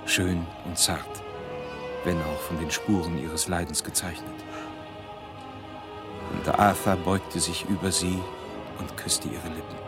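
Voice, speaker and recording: Deep-voiced sombre doctor. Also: male voice, little pitch movement, takes it slowly, pauses often, over loud music. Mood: sombre, hushed. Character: sombre, courteous male doctor recounting horrors. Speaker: narrator and character. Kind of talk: dramatic narration in a German radio play.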